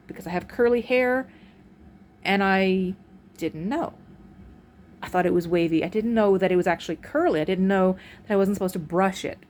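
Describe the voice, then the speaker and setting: Woman vlogger, low deep voice, frank and halting delivery, faint room hiss behind. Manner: frank, halting, with pauses and restarts. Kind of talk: candid personal vlog. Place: at home, indoors. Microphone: phone camera, recorded in portrait mode.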